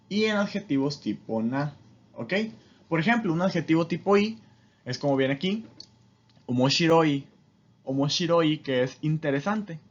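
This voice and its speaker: Higher-voiced man teaching, slightly muffled recording. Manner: didactic, often checks understanding with short tag questions. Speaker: language teacher. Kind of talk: informal explanatory lesson.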